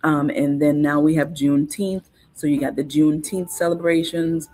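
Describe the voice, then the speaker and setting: A woman, a guest in a casual interview, explaining things in an unhurried, informative way with frequent fillers and restarts. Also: deep voice, steady tone, mellow. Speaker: guest on cultural topics.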